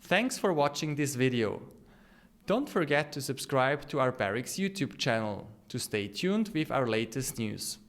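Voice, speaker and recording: A man's voice: mid-range voice, sing-song, unhurried. A man reading a scripted promotional explainer as the narrator of a product video.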